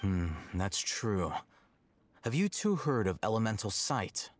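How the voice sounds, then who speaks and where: Chłodny, niski głos młodego uczonego. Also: male voice, lowish voice, no room sound, exaggerated voice. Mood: condescending. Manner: measured, dry, deadpan. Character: aloof young male scholar, cool and condescending. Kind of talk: video game dialogue.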